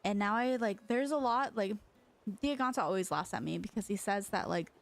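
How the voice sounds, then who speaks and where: A woman's voice: mid-range voice, fairly quick, gravelly. A young woman from China whose first language is Chinese, streaming in a rambling, casual chat full of fillers and restarts.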